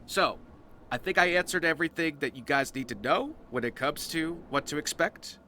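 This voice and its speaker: A man's voice: on the high side, moderate pace, bright, forceful tone, slight background noise. A man hosting a channel announcement, explaining his roleplay series to viewers in emphatic short phrases. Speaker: roleplay series creator and host.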